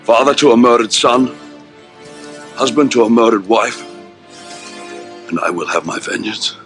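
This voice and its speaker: An actor playing a proud, vengeful Roman general, speaking in a low, solemn, commanding way in a film scene. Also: male voice, animated, measured pace, fairly bright tone, faint music behind. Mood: defiant. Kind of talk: film drama clip. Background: faint film score.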